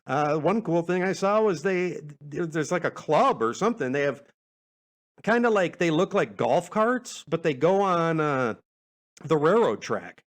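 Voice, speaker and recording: A man with a slightly high voice hosts a solo podcast. He talks casually and wanders from point to point in a folksy way. He sounds amused by his own asides. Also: very animated, unhurried. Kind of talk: casual rambling solo podcast, answering listener questions.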